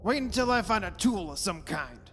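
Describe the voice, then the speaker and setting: A man's voice: sits high, lively intonation, fairly quick, a bit bright, soft background music. A man playing a stubborn, scoffing father in a melodramatic radio horror play, theatrical and blustering. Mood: scornful. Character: stubborn, scoffing father who mocks an old legend. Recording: long hall reverb.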